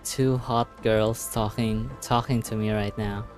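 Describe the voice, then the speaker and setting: A man livestreaming a game with casual, slangy commentary, often halting and repeating himself. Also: fairly low pitch, little pitch movement, darkish tone. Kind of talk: casual live gameplay commentary. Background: faint game music.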